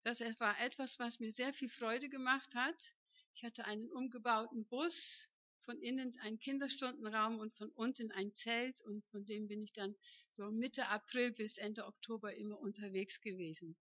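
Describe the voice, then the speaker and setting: A woman in her fifties from northern Germany tells a church congregation about her missionary work. Her fairly high voice has a bright edge, and she talks chattily, often asking the room to agree. The sound is close and dry but dull. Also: fairly even intonation, moderate pace, no echo. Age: 50s. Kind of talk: personal testimony to a church congregation. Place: church hall.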